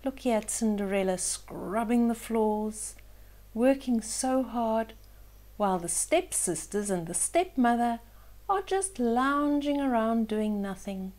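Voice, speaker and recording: Casting: female children's storyteller, unhurried and soft-toned, expressive, dropping into hushed moments. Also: on the high side. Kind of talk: storybook read-aloud with asides to young listeners.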